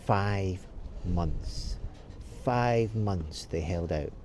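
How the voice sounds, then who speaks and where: A man's voice: low-pitched, drawn-out words, darkish tone, a little hiss or hum. A man telling a story for children, stopping to ask and answer questions. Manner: explains as he tells, asks and answers questions.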